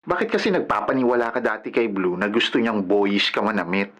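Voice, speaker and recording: A man's voice: lowish voice, lively intonation, fairly quick, fairly bright tone. An actor with a Filipino accent playing a nosy young male friend who teases and mocks playfully in a radio drama. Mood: teasing. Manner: mocking, playful, exclaiming.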